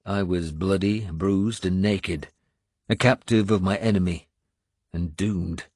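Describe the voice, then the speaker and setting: A male audiobook narrator with a low voice reads first-person fiction. His storytelling is measured and dramatic, taken slowly. The recording is dry and close. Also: steady tone, darkish tone, no room sound.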